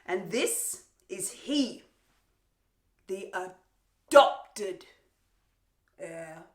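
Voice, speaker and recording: A woman performing a Shakespearean stage monologue as a vengeful warrior queen, theatrical and taunting, with drawn-out pauses, gloating over a captive. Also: medium pitch, animated. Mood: scornful, menacing. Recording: roomy, echoing untreated room.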